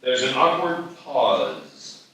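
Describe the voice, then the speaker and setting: Bright-voiced male narrator in echoey hall. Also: medium pitch, very animated, very slow. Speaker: stage direction narrator. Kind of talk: scripted narration at a live table read. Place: live festival reading in an echoey room. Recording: echoey hall, distant mic, faint noise.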